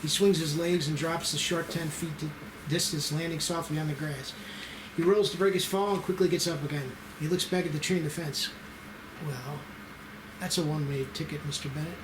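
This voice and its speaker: A man's voice: on the high side, fairly even intonation, a little fast. Man narrating a screenplay in echoey room. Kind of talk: reading stage directions aloud at an amateur table read. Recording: roomy echo with clear noise.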